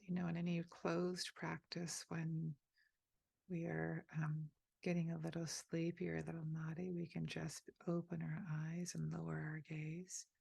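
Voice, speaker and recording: A woman leading a guided meditation, speaking softly and gently inviting the listener, with pauses between phrases. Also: fairly low pitch, vocal fry, husky, no echo. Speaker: meditation teacher.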